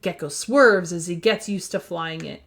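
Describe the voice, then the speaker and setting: A woman reading a storybook aloud for young children in an animated, expressive storytelling style. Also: fairly low pitch, a little slow. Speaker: children's book reader.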